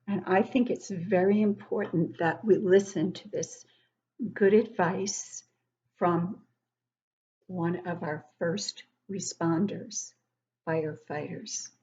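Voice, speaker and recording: A woman reading a picture book aloud to young children, expressively, pausing to explain things and ask the listeners questions. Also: on the low side, fairly even intonation, warm tone, husky, highs cut off. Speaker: children's book club host and reader.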